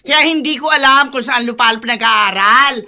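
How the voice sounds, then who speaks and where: A woman's voice: sits high, moderate pace, sharp tone. A woman playing a callous, brash, lying aunt in a Filipino radio drama, scolding and dismissive. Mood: irritated, dismissive.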